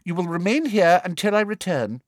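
A man putting on a shrill, prim witch official. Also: lively intonation, moderate pace, no room sound, studio quality. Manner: prim, sharp, falsely motherly. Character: sickly-sweet, cruel middle-aged woman official.

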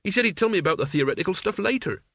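A man's voice: high voice, animated, very fast, fairly bright tone, no echo, voice pushed up, exaggerated voice. A man as a naive, earnest teenage boy. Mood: puzzled. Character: naive, well-meaning sixteen-year-old boy, blunt and bewildered.

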